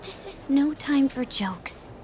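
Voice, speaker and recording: An actress playing an urgent, secretive young woman in disguise in a video game, insistent and often hushed. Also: high voice, big pitch swings, slow pace, slight background noise, heavily acted. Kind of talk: English game dub cutscene acting. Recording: music behind the dialogue.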